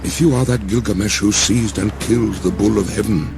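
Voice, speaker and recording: A man's voice: lowish voice, fairly even intonation, brisk, creaky. A man voicing a wary, questioning divine woman who keeps wine, in a dramatized epic audiobook, with an insistent, wondering delivery. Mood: probing, challenging. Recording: music behind the voice.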